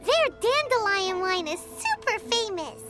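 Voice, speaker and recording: A woman voicing a tiny, cheeky, childlike floating girl companion in an anime game, with a squeaky, chirpy voice. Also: very animated, measured pace, over loud music, full character voice. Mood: eager.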